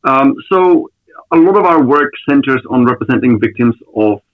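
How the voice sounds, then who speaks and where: A man in his thirties from the Czech Republic, a lawyer being interviewed on a podcast, answering thoughtfully with many pauses and restarts. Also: medium pitch, expressive, dry recording, narrow, tinny audio. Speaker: lawyer, guest.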